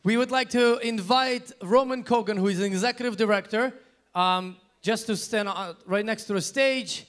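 A man co-hosting a gala, reading formal introductions in a slightly halting way on a banquet hall stage. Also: high voice, fairly bright tone. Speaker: event co-host. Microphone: handheld microphone.

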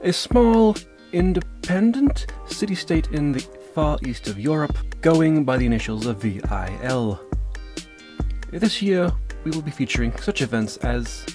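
A man voicing a pompous, excitable Olympic announcer in a comic dub. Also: a little high, unhurried. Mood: grand announcing. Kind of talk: comic dub character acting. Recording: music under the voice.

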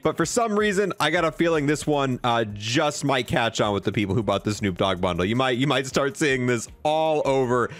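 A young man giving casual, slangy, excitable commentary as he reviews game content. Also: medium pitch, moderate pace, few pauses. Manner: excitable, slangy bro-and-dude talk. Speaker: gaming video creator.

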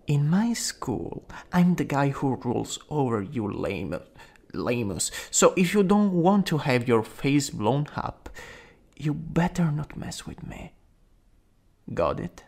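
A man acts out a rude young bully in an ASMR roleplay, all swagger over a hidden soft spot. The voice is gravelly and husky, and it swings widely in pitch between taunting and flustered. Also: sing-song, takes it slowly, heavily acted. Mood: domineering. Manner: cocky taunts breaking into stammering, breathy asides.

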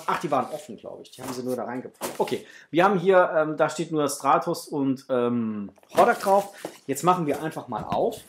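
A middle-aged man hosts a casual unboxing vlog in a medium-pitched voice. He talks to his viewers informally and piles on enthusiastic praise. There is clear noise behind him, with some clicking. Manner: enthusiastic, gushing in superlatives. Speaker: toy collector vlogger, host. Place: small indoor home room with framed posters.

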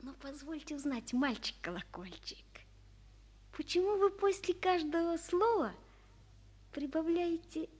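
A woman's voice: high voice, animated, unhurried. A woman playing a curious, well-mannered little boy in an old radio play, earnest and polite. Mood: polite, wondering. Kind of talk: 1940s radio play acting.

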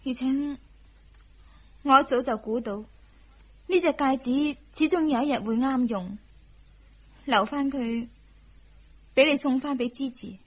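High-voiced, gentle Cantonese young wife. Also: female voice, slow, pauses often, breathy edge, heavily acted. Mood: calm, resigned. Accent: Hong Kong Cantonese. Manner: soft, submissive, careful. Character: gentle, dutiful, self-sacrificing young wife. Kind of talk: radio drama acting.

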